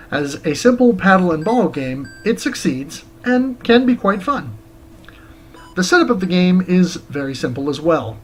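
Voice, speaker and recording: A man's voice: fairly high pitch, a little hiss or hum. A man hosting a show, reading a scripted video game review as narration.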